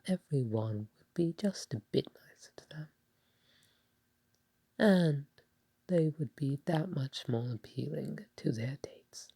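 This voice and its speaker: A woman playing a bashful, doting god of love in an ASMR roleplay, speaking softly and breathily with a hesitant, tender, playful manner. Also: low-pitched, no echo, full character voice. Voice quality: soft, breathy, partly whispered. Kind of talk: ASMR roleplay spoken to the listener.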